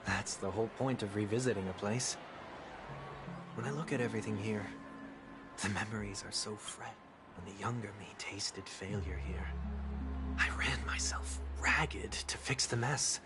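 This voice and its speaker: Video game role for a male actor: composed, mysterious gentleman, rather low voice, formal and quietly wistful, over loud music. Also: close to an everyday voice. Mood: reflective, wistful. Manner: formal, measured, archaic phrasing.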